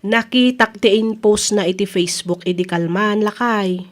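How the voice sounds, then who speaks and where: A woman's voice: mid-range voice, normal speed, close to an everyday voice. A woman with an Ilocano accent acting an indignant, nagging wife chasing a debt in a comedy radio drama. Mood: gossipy.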